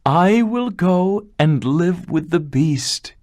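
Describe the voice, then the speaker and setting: A man's voice: high-pitched, lively intonation, slow, dry recording, studio quality, pitched above the performer's own voice. A man voicing a brave, kind young daughter in a storybook reading, raising his voice for her. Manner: earnest, gentle.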